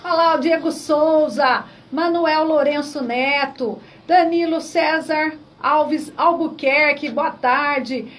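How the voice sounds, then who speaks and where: High-voiced Brazilian woman radio host. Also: slow, few pauses, far from the mic, soft background music, low-quality recording. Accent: Brazilian Portuguese. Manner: lively, greets listeners. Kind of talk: radio talk show hosting.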